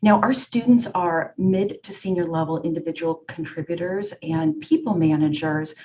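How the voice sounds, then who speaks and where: A woman's voice: lowish voice, expressive, moderate pace, dark tone, slight background noise. Polished woman career director, dull call audio. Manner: polished, listing points crisply. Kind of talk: prepared professional introduction.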